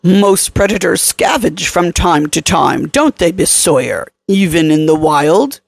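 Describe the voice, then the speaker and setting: A woman voicing a formally polite male villain boss with a measured, quietly menacing manner in a story reading. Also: lowish voice, expressive, creaky, a little hiss or hum. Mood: smooth, dismissive.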